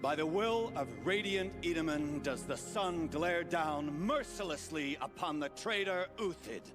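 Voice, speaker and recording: An actor playing a male herald in a game, loudly and solemnly proclaiming. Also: on the high side, animated, a little slow. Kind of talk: video game cutscene acting. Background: music.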